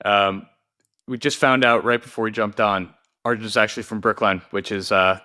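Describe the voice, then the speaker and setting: A man hosts a podcast interview, upbeat and friendly, leading into his questions with the odd filler. His voice is fairly low but bright and forward. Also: steady tone, normal speed. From Newton, Massachusetts. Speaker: podcast host.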